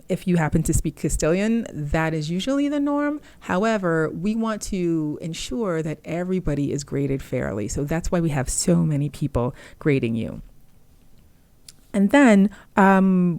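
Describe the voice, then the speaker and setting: A woman, a native Haitian Creole speaker, explaining medical interpreter certification step by step in a relaxed teaching talk with some fillers. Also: sits low, dry recording. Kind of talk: informal instructional talk.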